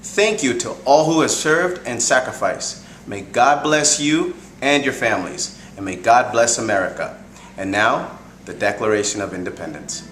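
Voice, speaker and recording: A man giving an earnest, measured, prepared introduction as a presenter. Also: medium pitch, animated, fairly bright tone. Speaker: presenter introducing a group reading. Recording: echoey room, faint background noise.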